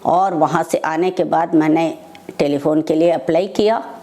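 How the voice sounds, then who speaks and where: An older woman, telling personal devotional stories as a devotee, in short phrases with pauses between them. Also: sits low, steady tone, mellow. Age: older, over 60. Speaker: devotee sharing personal testimony.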